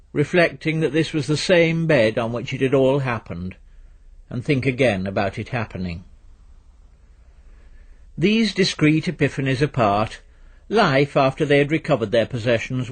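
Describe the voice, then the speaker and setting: A man reads literary fiction aloud in a measured, dryly understated way, his voice a little husky. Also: mid-range voice. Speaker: narrator reading fiction aloud. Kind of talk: scripted literary narration.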